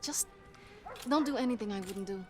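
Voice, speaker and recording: An actress playing a sardonic, stubborn young Russian medic and sister in a game cutscene, with a Russian accent. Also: female voice, medium pitch, normal speed, faint music behind, natural voice. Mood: bantering, stubborn. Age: young adult. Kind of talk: video game cutscene acting.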